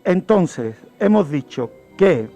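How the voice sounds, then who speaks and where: Slow, high-pitched man. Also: very animated, mellow. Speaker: guest criminologist presenting a crime segment. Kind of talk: radio true-crime storytelling in conversation. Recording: music bed under the voice. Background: background music.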